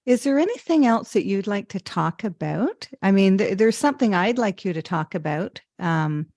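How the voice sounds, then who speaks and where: A woman hosting a podcast interview, speaking haltingly with many ums and restarts. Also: medium pitch, animated, darkish tone, no room sound, clean studio sound. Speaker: podcast host. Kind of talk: interview conversation.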